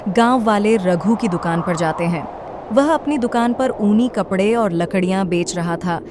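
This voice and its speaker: A woman narrating a children's moral story in steady, measured storytelling. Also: medium pitch, noisy background.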